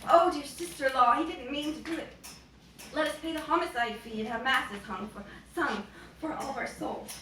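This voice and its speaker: A woman's voice: sits high, moderate pace, fairly bright tone, pitched above the performer's own voice. A woman voicing an anxious, protective noble mother in a story reading, urgent and earnest. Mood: pleading. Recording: roomy, distant, noisy bookstore recording.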